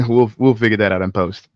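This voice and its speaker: A man's voice: medium pitch, expressive, normal speed, no room sound, muffled recording. A man co-hosting a podcast, chatting casually in a blunt, opinionated way. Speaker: podcast co-host. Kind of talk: casual chat.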